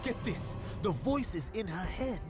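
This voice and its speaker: A cocky, self-admiring young male hero telling tales in a game cinematic. His fairly high voice leaps and dips with theatrical, playful flair. Music plays clearly behind him. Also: very animated, slow, heavily acted. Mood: dramatic storytelling. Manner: boastful, playful, self-regarding.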